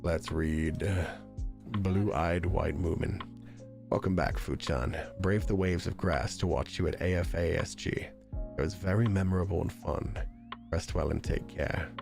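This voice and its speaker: A man's voice: deep voice, steady tone, a little slow, gravelly, music in the background. A man livestreaming and chatting casually with viewers while reading their donations, talking through a sore, unwell throat in an easygoing way, laughing often and swearing casually.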